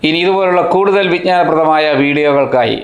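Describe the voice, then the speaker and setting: Higher-voiced man explaining, echoey room. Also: fairly quick. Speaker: presenter of an educational video channel. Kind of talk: explanatory narration. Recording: roomy, echoing voice-over.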